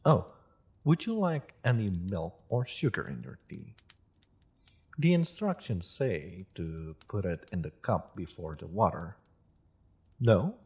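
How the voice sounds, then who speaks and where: A worldly, courteous half-dragon merchant acted by a man in a fantasy audio roleplay. The voice is deep and slightly husky, unhurried yet expressive in its rise and fall. The phrasing is formal and polite, with wry amusement. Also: full character voice. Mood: courteous, hospitable. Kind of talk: scripted fantasy audio roleplay addressed to listener.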